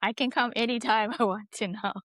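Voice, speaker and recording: A woman's voice: on the high side, fairly even intonation, fairly quick, a bit bright, dry recording, studio quality. A young woman from South Africa with a South African accent, telling her story as a guest in a casual interview, chatty and full of fillers. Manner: chatty, laughs easily, many "like" fillers. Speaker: guest, English teacher.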